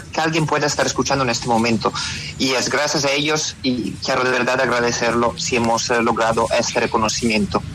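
A man's voice: medium pitch, a bit bright, slight background noise, rough recording. A middle-aged man from Italy speaking Spanish with an Italian accent as a radio interview guest, hesitant and full of fillers and restarts. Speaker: interview guest, pizzeria owner.

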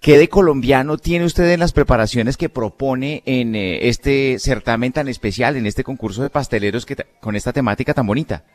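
A man co-hosting an interview who asks his questions in a measured, formal way. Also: medium pitch. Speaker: co-host. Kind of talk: radio interview.

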